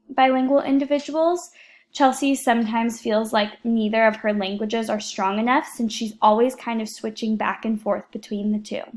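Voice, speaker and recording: Young woman student, slightly high voice, earnest and steady, reading a prepared class report. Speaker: education student presenting a class project.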